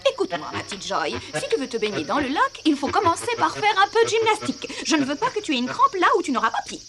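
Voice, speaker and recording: A woman playing a fussy, excitable grown-up looking after children in a dubbed cartoon, theatrical and exclamatory. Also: high voice, fast talker, a crowd in the background. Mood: bossy, brisk. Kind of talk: animated cartoon dub acting. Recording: noisy old cartoon soundtrack.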